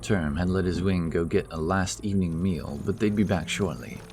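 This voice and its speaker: A man narrating a fantasy audio story in a steady, low-key way. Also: normal speed, slight background noise.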